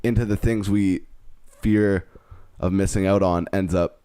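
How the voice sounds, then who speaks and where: A man's voice: low voice, fairly even intonation, measured pace, mellow, smooth, dry recording, studio quality. A man in his twenties co-hosting a podcast, talking in a rambling, frank way that trails off mid-thought. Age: 20s. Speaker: podcast co-host. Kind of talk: casual chat.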